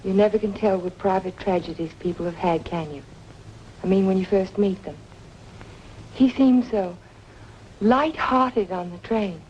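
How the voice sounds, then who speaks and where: An actress playing a curious, politely guarded young niece in a mid-century drama, speaking with composure. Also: female voice, mid-range voice, measured pace, long pauses, darkish tone, lightly acted. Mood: earnest. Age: young adult. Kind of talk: mid-century American film drama acting. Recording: dull, noisy vintage soundtrack.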